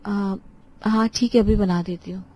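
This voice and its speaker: Woman playing a dutiful daughter-in-law, young, mid-pitched, polite, deferential, family drama. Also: fairly even intonation, normal speed, mellow, lightly acted, low-quality recording. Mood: obliging. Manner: deferential, obliging.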